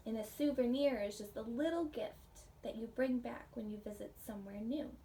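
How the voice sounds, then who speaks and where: An American woman, a pre-K teacher, reading a picture book aloud and explaining it simply to young children in a gentle, child-directed way. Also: fairly high pitch, normal speed. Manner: gentle, child-directed, asks the listeners questions. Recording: distant mic, faint background noise.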